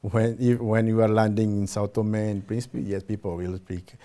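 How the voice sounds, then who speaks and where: A man whose first language is Portuguese, answering interview questions in English, hesitant with many pauses and fillers. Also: on the low side, level tone, dark tone. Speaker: citizenship program representative.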